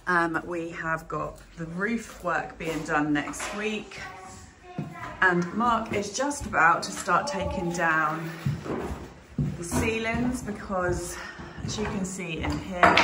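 A woman's voice: lowish voice, noisy background. A woman chatting casually with many "um"s while walking through a bare, empty house, filming on her phone. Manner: chatty, frequent "um" and "so". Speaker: vlogger touring a house renovation. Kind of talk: casual walkthrough narration. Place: empty stripped-out house, indoors.

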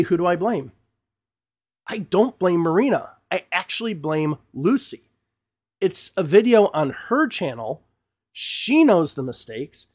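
Middle-aged male host, higher-pitched voice, emphatic ranting commentary, repeats words, close desk mic. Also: a little mellow. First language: English. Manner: gestures broadly with hands while talking. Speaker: English teacher and channel host. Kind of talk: opinionated commentary to camera. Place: small home studio room with bookshelves, indoors. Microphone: podcast mic on desk in front of him.

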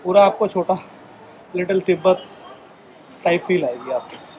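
Chatty high-voiced man vlogging. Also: unhurried, a little mellow, faint music behind, poor audio. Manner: chatty, addresses viewers as guys. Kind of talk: casual travel vlog. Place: car, then a busy street market and a cafe.

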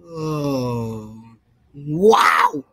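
A woman voicing an excitable, goofy male adventurer turned ostrich in a theatrical amateur cartoon fan dub. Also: low-pitched, extremely slow, vocal fry, background noise, heavily acted. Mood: panicked. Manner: exclamatory, theatrical.

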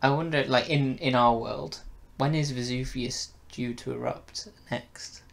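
A man's voice: mid-range voice, steady tone, measured pace, gravelly, fairly bright tone. A young man in a casual, conversational review chat, with a wry manner. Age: young adult. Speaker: podcast reviewer and co-host. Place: bedroom, indoors. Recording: noisy home room recording.

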